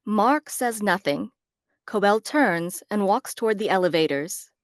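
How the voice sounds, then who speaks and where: A woman's voice: medium pitch, expressive, measured pace, no echo, studio quality. A woman narrating stage directions in a scripted table read.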